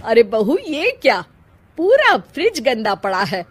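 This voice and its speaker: Woman as a nagging, high-pitched scheming mother-in-law. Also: wildly swooping pitch, measured pace, full character voice. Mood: scolding. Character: scheming, competitive older mother-in-law, critical and smug.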